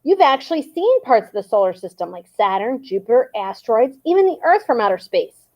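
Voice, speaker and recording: Woman voicing an eager little girl, storybook, high and bright, outspoken. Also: very animated, a little fast. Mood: encouraging. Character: lively young girl, outspoken and encouraging.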